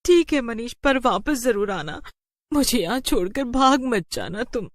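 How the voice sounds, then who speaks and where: Woman acting anxious high-voiced cartoon wife. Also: lively intonation, a little slow, no echo, studio quality. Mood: plucky, urgent. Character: anxious young working wife, pleading yet plucky. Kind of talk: cartoon horror story dialogue.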